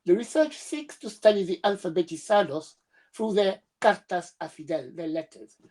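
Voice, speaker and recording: A young woman with a cold, presenting her doctoral research over a video call in a steady, measured reading voice with small hesitations. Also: lowish voice. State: has a cold. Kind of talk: reading a prepared academic presentation.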